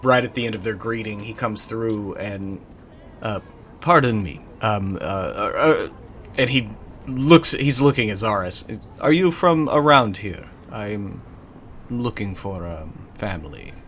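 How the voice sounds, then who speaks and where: A man playing a tabletop game, improvising his character's words and actions in a hesitant, halting way. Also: on the low side, lively intonation, a bit bright, slight background noise.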